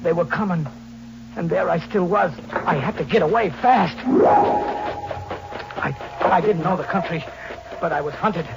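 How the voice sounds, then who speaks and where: An actor playing a hunted, vengeful drifter in an old radio thriller, talking in tough slang with melodramatic, haunted delivery. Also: male voice, sits high, measured pace, creaky, pauses often, over loud music. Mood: haunted, frantic. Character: hunted, desperate, vengeful male drifter on the run. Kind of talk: 1940s radio thriller acting with first-person narration. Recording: noisy, muffled old radio recording with hum.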